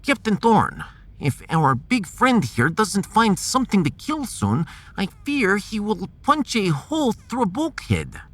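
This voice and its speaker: Excitable high-pitched scientist. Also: male voice, a little slow, full character voice. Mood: wry. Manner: theatrical, exclamatory. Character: excitable, grumbling male scientist, sardonic and fretful. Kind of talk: dramatised sci-fi audio drama.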